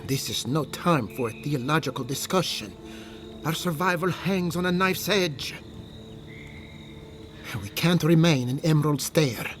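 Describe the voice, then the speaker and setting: A high-voiced, earnest resistance leader. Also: male voice, measured pace, long pauses, a bit bright, soft background music. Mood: grave, determined. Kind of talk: video game dramatic acting.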